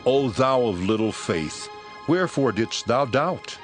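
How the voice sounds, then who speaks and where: A man voicing Jesus as a calm, compassionate grown man in a dramatized scripture reading, solemn and gentle. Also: mid-range voice, measured pace, natural voice. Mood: gently rebuking. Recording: music bed behind voice. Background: soft music.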